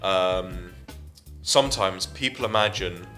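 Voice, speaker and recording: Deep-voiced man explaining at length. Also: takes it slowly, bright, forceful tone, soft background music. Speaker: podcast co-host. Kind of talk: casual chat, retelling at length.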